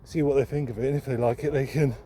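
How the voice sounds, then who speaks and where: A man's voice: medium pitch, fairly even intonation, quick pace, mellow. A man talking casually and unscripted, thinking aloud in a hesitant, rambling way as he records a vlog while walking outdoors. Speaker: second-hand bookseller and vlogger. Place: outdoors, walking along a town street. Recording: outdoor street noise with passing traffic. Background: street ambience and vehicles.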